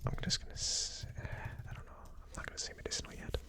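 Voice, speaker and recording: An ASMR host giving a hesitant, thinking-aloud tasting commentary in a breathy, unvoiced whisper. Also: fast talker. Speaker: whiskey reviewer, ASMR host.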